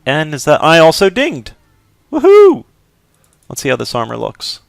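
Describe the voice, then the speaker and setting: Joking, gravelly-voiced young man. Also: on the high side, moderate pace, no echo, studio quality. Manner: joking, offhand, excitable. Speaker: let's play host. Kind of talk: casual gameplay commentary.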